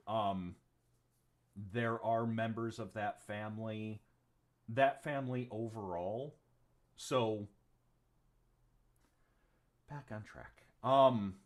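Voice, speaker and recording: A man running a tabletop game as game master, rambling with many ums and casual swearing. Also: lowish voice. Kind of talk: narrating a tabletop game on a livestream.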